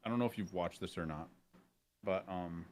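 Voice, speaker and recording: Lowish-voiced man hosting a casual podcast. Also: normal speed, a little mellow, slight background noise. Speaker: podcast host and streamer. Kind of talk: casual, profane chat.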